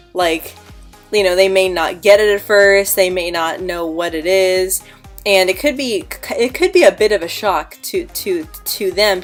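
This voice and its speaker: A young woman talks candidly to her viewers, stuttering often and getting stuck on the start of words. Her voice sits in a middle pitch, and soft music plays faintly behind her. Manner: frequent stuttering with repeated sounds and blocks; earnest, candid. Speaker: vlogger. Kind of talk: casual talk to camera.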